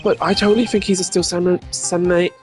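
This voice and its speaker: A man voicing a hot-blooded, goofy young man from a video game, excitable and melodramatic. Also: sits high, fairly quick, over music, voice pushed up, close to an everyday voice. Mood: pleading. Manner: melodramatic, pleading.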